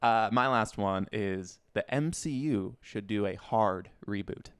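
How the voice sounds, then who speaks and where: A man's voice: on the low side, measured pace, clean tone, no echo, clean studio sound. A man co-hosting a podcast in casual debate, informal and agreeable, thinking aloud as he talks. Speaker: podcast co-host.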